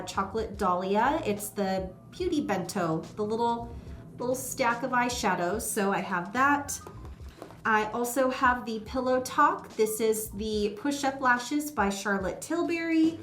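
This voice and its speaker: A woman's voice: fairly high pitch, expressive, a little slow, a bit bright, roomy sound. A woman vlogger chatting casually about makeup, a bit nasal from a head cold and rambling with many ums. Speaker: beauty vlogger. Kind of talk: casual makeup vlog chat.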